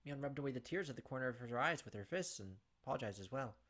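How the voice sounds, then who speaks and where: A man's voice: mid-range voice, expressive, fast talker, a bit bright. A man hosting a gaming video, reading a visual novel aloud and adding casual commentary over game music. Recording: music behind the voice.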